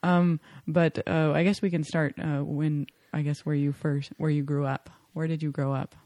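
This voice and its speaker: A woman's voice: deep voice, level tone, moderate pace, warm tone, no room sound. A woman hosting an interview podcast, chatting casually, rambling and correcting herself as she goes. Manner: rambling, self-correcting, laughing along. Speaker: podcast host.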